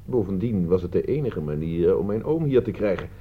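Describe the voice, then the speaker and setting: A man's voice: sits low, lively intonation, fairly quick, slightly rough. A man acting in a Dutch radio play as a smug, teasing nephew with a breezy, self-satisfied manner. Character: smug, teasing nephew who staged the hoax. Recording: dull lo-fi radio play recording.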